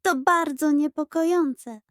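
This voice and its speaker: A woman voicing a dramatic, easily indignant female giraffe in a children's audio story, with theatrical, expressive delivery. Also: high-pitched, slow, dry recording, studio quality, pitched above the performer's own voice. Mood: huffy.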